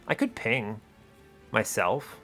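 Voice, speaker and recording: A man's voice: fairly low pitch, big pitch swings, takes it slowly, pushed and bright. A man hosting a gaming video, thinking aloud through his strategy in casual, wry commentary made of short, colloquial remarks. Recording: music behind the voice. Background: game music.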